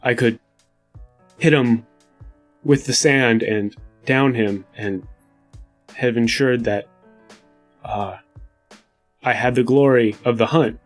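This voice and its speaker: A man playing an earnest, guilt-laden desert hunter in a tabletop game, speaking in a halting, somber way. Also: medium pitch, soft background music, close to an everyday voice. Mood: somber, haunted.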